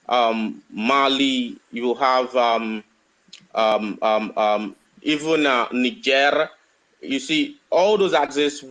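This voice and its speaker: Man, rabbi, discussion guest, hesitant, many pauses, mid pitch. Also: fairly bright tone, rough recording. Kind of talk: live online discussion.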